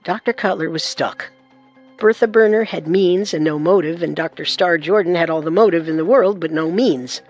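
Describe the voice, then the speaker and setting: A man narrating a true-crime radio story in an earnest, assertive way over soft music. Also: fairly high pitch, normal speed, muffled recording. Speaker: narrator and co-host.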